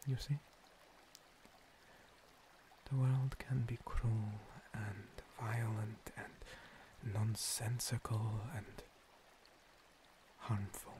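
A man playing an ancient, gentle monster priest, a scarred former paladin, in a breathy, halting, soothing ASMR roleplay whisper. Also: lowish voice, little pitch movement, background noise. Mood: solemn, reflective. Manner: slow, soothing, halting pauses. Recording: water streaming and pouring behind. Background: running water.

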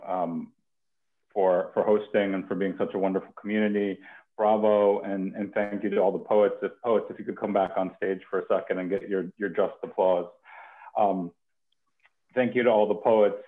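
A young man hosting a poetry reading informally, with a halting, thoughtful way of talking. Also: lowish voice. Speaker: host of a poetry reading series. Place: home kitchen. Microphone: headset on a video call. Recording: phone-like narrow-band video call.

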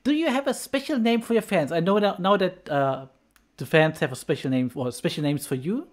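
A woman hosts a convention interview in a gentle, earnest way. Her voice is fairly low, but it swings up and down a lot as she speaks. She sometimes pauses to find her words. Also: expressive. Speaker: convention host. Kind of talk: interview questions.

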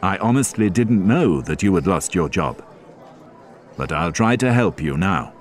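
A man as a soft-spoken, firm, kind mayor. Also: low-pitched, lively intonation, measured pace. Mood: gentle. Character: kind, gentle male town mayor.

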